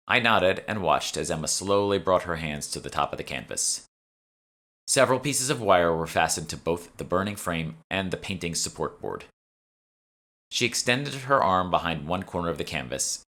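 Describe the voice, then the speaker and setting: Deep-voiced man reading an audiobook. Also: sharp tone. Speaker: author reading his own audiobook. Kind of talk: scripted first-person fantasy audiobook narration. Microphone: close USB studio microphone.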